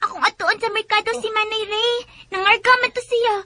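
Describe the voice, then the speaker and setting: A woman's voice: very high-pitched, steady tone, a little fast, a crowd in the background. A woman playing a poor, affectionate little sister in a radio drama, childlike and plaintive, with music behind her. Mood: caring. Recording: loud music under the voice. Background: drama background music.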